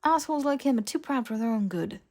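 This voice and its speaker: A woman's voice: a little high, lively intonation, quick pace, a little mellow, pitched above the performer's own voice. A woman voicing a sensible, bookish young witch with a precise, scolding, exasperated manner in an audiobook. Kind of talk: fiction audiobook character voice.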